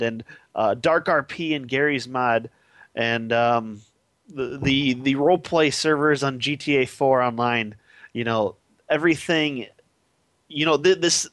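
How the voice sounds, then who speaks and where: A man in a casual interview about his game, eager and quick to laugh. His voice sits mid-range, and the sound is dry with no room to it. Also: medium pitch, fairly bright tone, no room sound. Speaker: game developer guest.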